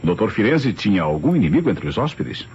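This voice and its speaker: A courteous but probing police inspector in a vintage radio mystery. His lowish voice moves briskly through formal, measured questions with lively intonation. The old recording is dull and noisy.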